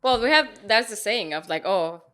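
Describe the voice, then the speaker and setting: Woman, interviewer from Venezuela, bright forceful voice, mid pitch, casual conversation. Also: expressive, moderate pace.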